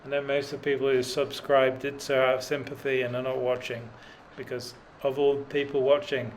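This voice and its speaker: A man's voice: medium pitch, level tone, brisk, a little hiss or hum, low-quality recording. A middle-aged man talking in a dry, self-deprecating way with many fillers while cycling up a mountain road. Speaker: cycling vlogger. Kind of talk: rambling self-deprecating commentary while riding.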